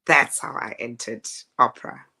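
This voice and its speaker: A woman with a deep speaking voice, an opera singer telling her story in a lively, laughing, stop-start way. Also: normal speed, vocal fry, breathy edge. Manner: animated, laughing storyteller with frequent restarts. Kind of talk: casual interview chat.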